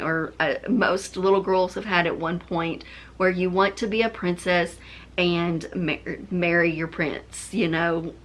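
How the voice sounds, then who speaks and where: Female book vlogger, mid-pitched voice, chatty and informal, speaking directly to viewers. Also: a bit bright. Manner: chatty, conversational. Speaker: book reviewer, video host. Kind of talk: casual chat to viewers.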